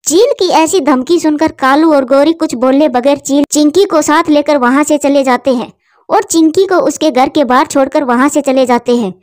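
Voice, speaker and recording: A woman's voice: sits high, normal speed, darkish tone. A woman reading scripted narration for a children's bird story as its narrator.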